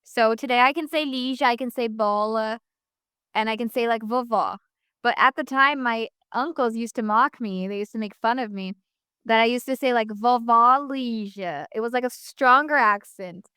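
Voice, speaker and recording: A woman's voice: a little high, moderate pace, bright, forceful tone, clear, resonant tone, dry recording, studio quality. A young woman from the United States, a guest talking casually in an interview, rambling with many fillers and restarts.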